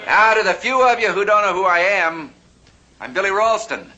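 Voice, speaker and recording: A man's voice: sits high, expressive, normal speed, pushed and bright. A man narrating a vintage TV drama and playing a flamboyant, genial 1860s banker. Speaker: host and actor. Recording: muffled old TV soundtrack with hiss.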